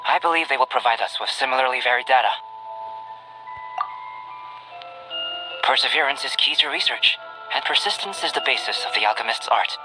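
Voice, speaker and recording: Man as young male alchemist, medium pitch, composed, scholarly, formal, reserved, fantasy game cutscene, music behind. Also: lively intonation, close to an everyday voice, low-quality recording. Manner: measured, formal, composed, precise. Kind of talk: video game cutscene acting.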